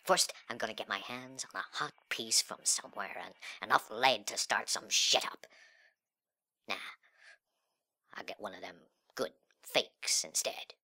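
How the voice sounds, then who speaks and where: A man performing a dramatic monologue as a sullen, cocky, nihilistic young slacker, with breathy, slangy, sneering delivery that swings between muttering and outbursts. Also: on the low side, unhurried, sharp tone, exaggerated voice. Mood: cool, scheming. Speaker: reader of a literary monologue. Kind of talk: dramatic first-person monologue in slangy speech.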